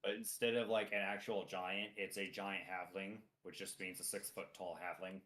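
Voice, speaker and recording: A man's voice: low voice, level tone, normal speed, a bit bright. A man in his late twenties chatting casually, adding dry, wry jokes while helping a friend write a campaign. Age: 20s. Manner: dry, wry joking asides. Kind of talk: casual chat.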